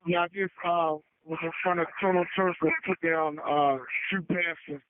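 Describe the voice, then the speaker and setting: Man, fairly high voice, casual halting radio check-ins, road crew driver, narrow tinny transmission. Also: steady tone. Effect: two-way radio.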